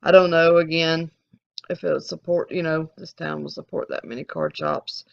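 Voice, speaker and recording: Casting note: Alabama drawl, gravelly edge, chatty self-recorded vlogger, dull lo-fi sound. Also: medium pitch, fairly even intonation, moderate pace. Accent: Southern US, Alabama. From American South. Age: adult, collecting since the eighties. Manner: rambling, candid, frequent restarts. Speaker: sports card channel host. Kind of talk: casual Q&A to viewers.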